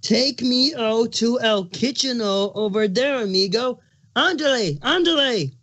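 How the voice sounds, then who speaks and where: Moody pregnant changeling creature, played by a man: high-pitched, irritable, sarcastic cartoon diva with a huge appetite. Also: lively intonation, measured pace, a bit bright, heavily acted. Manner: snappish, touchy, sarcastic. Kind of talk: cartoon fan fiction dramatic reading.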